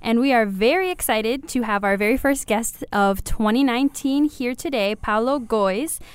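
A woman's voice: on the high side, normal speed, smooth, no room sound. A woman co-hosting an interview show, reading a polished, upbeat introduction of the guest. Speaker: podcast co-host.

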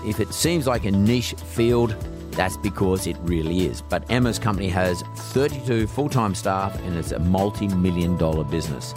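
Podcast host: Australian male, deep register, informal and direct interviewing style. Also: moderate pace, music in the background. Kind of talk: conversational interview hosting.

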